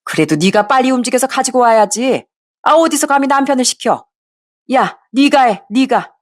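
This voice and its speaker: A woman voicing a bossy older mother-in-law who nags and yells at her daughter-in-law. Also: sits high, animated, normal speed, pitched above the performer's own voice. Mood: scolding. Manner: sharp, nagging, raises voice to yell.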